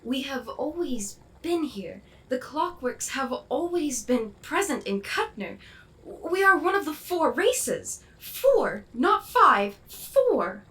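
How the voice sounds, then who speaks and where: A woman playing a proud, distraught noblewoman in a fantasy audio drama, halting and melodramatic. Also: sits high, very animated, a bit bright, exaggerated voice. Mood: disbelieving. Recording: echoey, distant, faint music behind.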